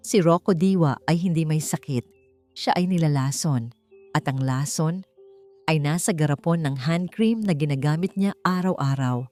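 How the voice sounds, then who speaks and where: A woman's voice: sits low, a little mellow. A woman with a Filipino accent reading a dramatic story as an audiobook narrator. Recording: music bed under the voice. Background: background music.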